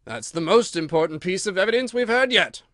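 Man acting a storybook king, pompous, self-important, raised pitch, animated, pondering aloud. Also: normal speed, no echo, studio quality, heavily acted.

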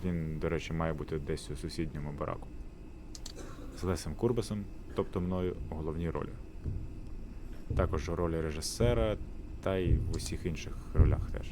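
A man's voice: very deep voice, fairly quick, pauses often, noisy background. A man giving theatrical, scripted narration for a visual novel.

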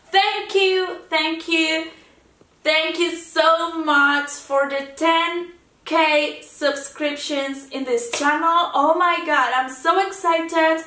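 Chatty young woman with a high bright voice. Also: fairly even intonation, slow pace, echoey room, distant mic. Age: 20s. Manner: chatty, lots of fillers and restarts, laughs easily. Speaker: YouTube reaction host. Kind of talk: casual talk to camera. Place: indoor room in front of a framed seascape painting.

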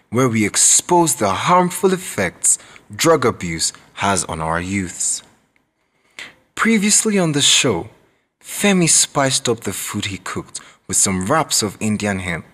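A man with a Nigerian accent narrating a radio drama in a grave, measured, dramatic manner. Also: on the low side, fairly bright tone, full character voice. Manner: measured, dramatic, deliberate delivery.

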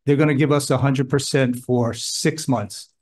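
Man, mid-pitched voice, official briefing senators, casual plain speech, hearing room. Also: fairly even intonation, normal speed, darkish tone. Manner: plain-spoken, conversational, gives examples. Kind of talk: testimony at a legislative hearing.

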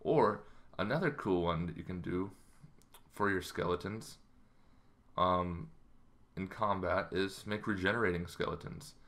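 A man in his thirties giving a casual, rambling explainer to camera, halting as he goes, in a small bedroom. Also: deep voice, a bit bright. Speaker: host of a tabletop roleplaying channel.

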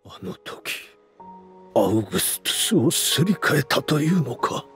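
A man's voice: fairly high pitch, very animated, measured pace, a bit bright, soft background music. An actor playing a bitter, vengeful male game villain who speaks in dramatic, faltering disbelief. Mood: stunned, bitter. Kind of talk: Japanese anime game voice acting.

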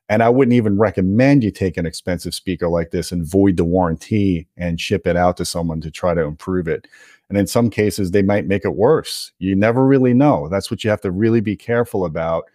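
A man's voice: deep voice, warm tone, no echo, clean studio sound. A man hosting an audio gear video, explaining things to viewers in an emphatic, opinionated, conversational way. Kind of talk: explanatory product commentary to viewers.

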